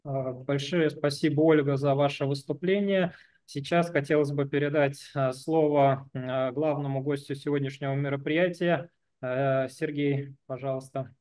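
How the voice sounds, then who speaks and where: Young man interpreting, halting. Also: medium pitch, steady tone, darkish tone. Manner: halting, searching for words with fillers. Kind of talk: live consecutive interpreting.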